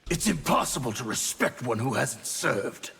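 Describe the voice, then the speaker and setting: A man playing an arrogant, brutal army officer in a video game, gruff and contemptuous. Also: medium pitch, big pitch swings, moderate pace, sharp tone, slight background noise, heavily acted. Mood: contemptuous, boastful. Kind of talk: video game character dialogue.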